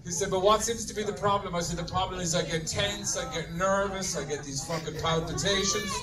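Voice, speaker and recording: Irish stand-up comic, middle-aged man, high-pitched and deadpan, heard from across the club over crowd laughter. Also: brisk. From Ireland. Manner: deadpan, swears freely, addresses audience as folks. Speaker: stand-up comedian. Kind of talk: stand-up comedy routine. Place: club stage in front of a laughing audience. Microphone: handheld mic, filmed from the audience. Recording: distant room recording with crowd laughter.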